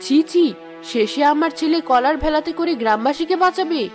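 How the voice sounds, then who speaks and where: Cartoon role: a stern mother-in-law, scornful and scolding, voiced by a woman, with a high voice. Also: brisk, a little mellow, faint music behind, lightly acted. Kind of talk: Bengali cartoon voice acting.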